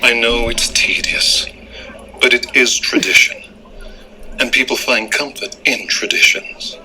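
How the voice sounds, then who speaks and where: A calm persuasive courtier. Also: male voice, mid-range voice, unhurried, poor audio. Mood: coaxing. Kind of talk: period drama acting.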